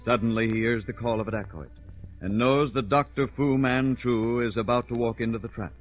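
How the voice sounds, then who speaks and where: A man's voice: medium pitch, fairly even intonation, a little fast. Over music, in a muffled old radio recording, a man announces a serial recap. His delivery is formal, scripted and dramatic, in the style of 1940s radio.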